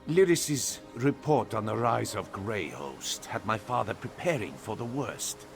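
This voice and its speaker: A man voicing a serious young nobleman in a video game, speaking gravely and thoughtfully over game music. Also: fairly low pitch, very animated, unhurried, exaggerated voice.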